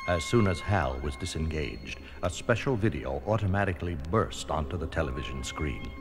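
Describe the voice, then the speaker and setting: A man's voice: very deep voice, lively intonation, normal speed. A man narrating a children's read-along story in a dramatic, scripted storytelling style. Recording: music under the voice.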